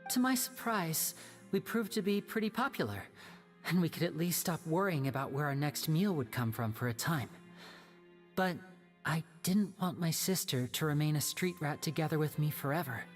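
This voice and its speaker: An earnest young orphan magician from an anime game. The voice is low for a woman, gentle and sincere, with long reverb and music behind it. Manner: soft-spoken, sincere, storytelling.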